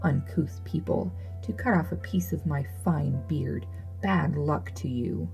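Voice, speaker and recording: A woman acts out a bad-tempered little storybook dwarf man. He scolds and grumbles in a shrill, creaky snarl, full of scorn and ingratitude. Music plays behind him. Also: measured pace, a little mellow. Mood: grumbling. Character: ill-tempered, ungrateful little old dwarf man with a beard. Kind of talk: fairy tale storybook reading.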